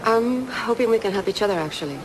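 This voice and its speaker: An actress playing a poised, businesslike insurance investigator around thirty in an audio drama, measured and polite. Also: female voice, on the high side, lively intonation, a crowd in the background, close to an everyday voice, low-quality recording.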